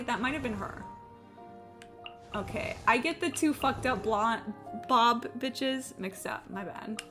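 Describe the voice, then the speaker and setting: A woman chatting casually on a gaming livestream in a rambling, sweary, self-deprecating way. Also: on the high side. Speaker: live game streamer. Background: game music.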